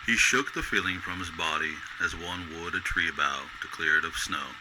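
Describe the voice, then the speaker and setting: Male narrator, very low pitch, measured storybook delivery, old-time radio drama style, noisy ambient effects behind.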